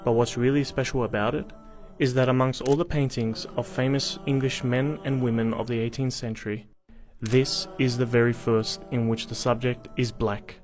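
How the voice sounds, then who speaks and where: A man reading scripted documentary narration in a measured, articulate storytelling manner. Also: medium pitch, flat delivery, faint music behind.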